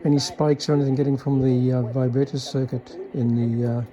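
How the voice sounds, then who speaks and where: A man with a soft-edged, mellow voice and medium pitch talks through a hands-on electronics demo. He is hesitant and understated, explaining technical details casually, without a script. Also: level tone, fairly quick, highs cut off. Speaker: electronics hobbyist demonstrating a repaired vintage car radio. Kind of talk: casual unscripted demonstration.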